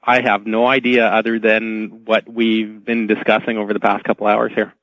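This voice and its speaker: A man with a low voice speaks as a guest on a radio show. He sounds dry and slightly muffled on a lo-fi phone line, with measured, halting phrasing. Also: level tone, no room sound. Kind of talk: unscripted interview commentary.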